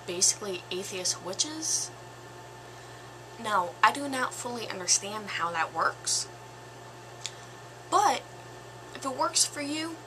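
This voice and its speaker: A woman in her twenties with a slightly raspy voice, giving her opinion in a casual vlog, slow and thoughtful with pauses and fillers. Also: mid-range voice, noisy background. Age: 20s. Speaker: vlogger.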